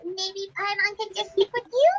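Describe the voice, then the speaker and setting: A woman voicing a small, chatty, eager fairy companion in a video game, with a squeaky childlike voice. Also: steady tone, moderate pace, lo-fi sound, slight background noise. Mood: curious, unsure. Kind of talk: video game character dialogue.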